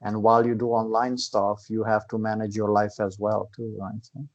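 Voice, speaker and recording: A man's voice: fairly low pitch, little pitch movement, normal speed, muffled recording. Man explaining earnestly. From Transylvania, Romania. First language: Hungarian. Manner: earnest, explaining at length with repeated "right?". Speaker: karate instructor and guest. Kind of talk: casual conversation.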